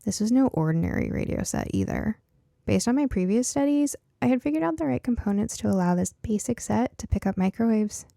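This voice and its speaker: A woman voicing a curious, science-minded girl who narrates her own story in a conversational, expressive storybook style. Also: fairly high pitch, a little fast, vocal fry, no room sound, clean studio sound. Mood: chatty, wry. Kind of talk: first-person audiobook narration.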